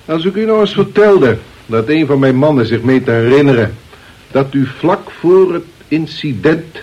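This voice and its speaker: An actor playing a shrewd, sarcastic police inspector in a radio play, polite, measured and quietly insinuating. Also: male voice, a little high, expressive. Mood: probing. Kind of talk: Dutch radio play acting. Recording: dull, lo-fi radio play recording.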